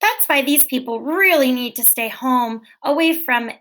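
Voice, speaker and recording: Woman reading aloud to children, bit high. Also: measured pace. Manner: gentle, clear, expressive reading with questions. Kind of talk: read-aloud storytelling for children.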